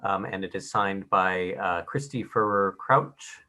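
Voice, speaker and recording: Bright, low-voiced male planning staffer. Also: unhurried. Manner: hesitant, many uh and um fillers. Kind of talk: presenting a staff report at a public meeting. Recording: video call audio.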